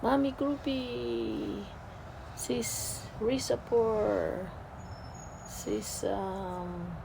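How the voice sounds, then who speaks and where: A woman's voice: fairly high pitch, fairly even intonation, drawn-out words, gravelly, noisy background. A Filipino woman narrating a casual vlog, speaking politely and informally and mixing in English phrases. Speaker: vlogger.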